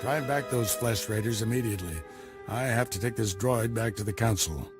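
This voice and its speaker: Actor playing a grave Jedi master, low, measured, instructive, music under. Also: male voice, natural voice. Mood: commanding. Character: stern calm male Jedi master, wise.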